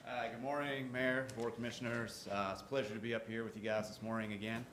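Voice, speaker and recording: A man presenting his lifeguard staff to a town board in a relaxed way, with many fillers. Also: medium pitch, fast. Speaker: ocean rescue official presenting to the board. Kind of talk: informal presentation. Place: town council chamber. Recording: echoey room with light noise.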